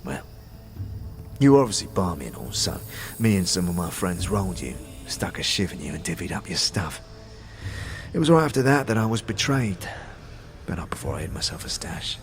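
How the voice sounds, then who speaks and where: An actor playing a talking skull, a dead anarchist who is bitter, conspiratorial and speaks in streetwise slang, in a fantasy audio drama. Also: male voice, low-pitched, big pitch swings, normal speed, long pauses. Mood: taunting. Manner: streetwise slang, scornful, guarded.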